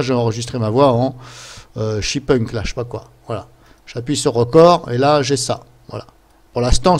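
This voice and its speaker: A man presenting a casual screen-capture software tutorial, explaining things step by step in an informal, chatty way. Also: mid-range voice. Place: voice-over recorded at a computer during screen capture.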